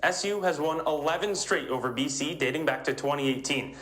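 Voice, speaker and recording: A man's voice: a little high, a little fast, a bit bright. A man working as a sports reporter and play-by-play announcer, delivering scripted reports and game commentary.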